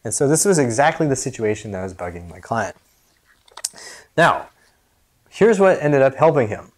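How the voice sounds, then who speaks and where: A man's voice: mid-range voice, sing-song, normal speed. An American man in his thirties, a movement coach giving a casual, jokey exercise demonstration in a small gym. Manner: jokey, informal explanatory. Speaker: movement coach and video host.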